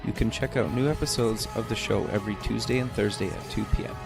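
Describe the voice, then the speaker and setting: A man's voice: on the low side, fast, creaky, music in the background. A man hosting an interview podcast, asking questions hesitantly with many "um"s and "kind of"s. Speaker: podcast host. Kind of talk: interview host.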